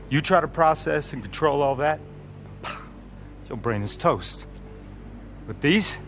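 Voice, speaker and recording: Game role: swaggering soldier buddy played by a man, medium pitch, slangy informal coaching, music underneath. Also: close to an everyday voice. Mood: explaining, brash. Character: brash, friendly, foul-mouthed male soldier squadmate.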